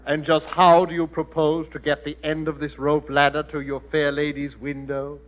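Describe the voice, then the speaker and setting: A man's voice: fairly high pitch, monotone, normal speed, close to an everyday voice. Pompous gloomy uncle, played by a man, muffled. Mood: scornful. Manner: pompous, florid diction. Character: pompous, cowardly freeloading uncle, gloomy and theatrical. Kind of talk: 1940s radio sitcom acting. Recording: muffled old radio recording.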